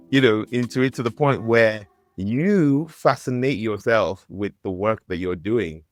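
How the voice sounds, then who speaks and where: A man from Africa hosting a podcast interview, enthusiastic and halting, with many fillers and restarts. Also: fairly low pitch. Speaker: podcast host. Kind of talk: casual interview chat.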